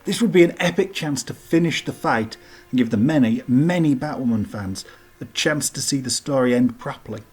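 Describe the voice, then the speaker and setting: A man's voice: medium pitch, moderate pace, breathy edge. A man giving informal scripted narration as the host of a countdown list video. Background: background music.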